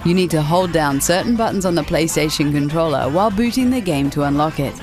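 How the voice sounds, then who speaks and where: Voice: medium pitch, lively intonation, brisk, over loud music. A narrator reading a scripted video game countdown as a voice-over.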